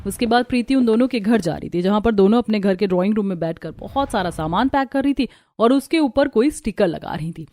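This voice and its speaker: A woman's voice: mid-range voice, brisk, mellow. A woman narrating a scripted cartoon story.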